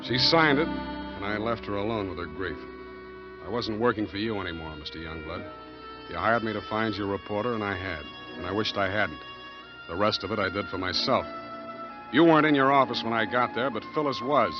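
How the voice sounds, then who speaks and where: An actor playing a tough, brusque, slangy private detective in a 1940s radio drama. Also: male voice, lowish voice, brisk, lightly acted. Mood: grim narrating. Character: tough, fast-talking hard-boiled male private detective. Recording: dull vintage 1940s radio, music behind.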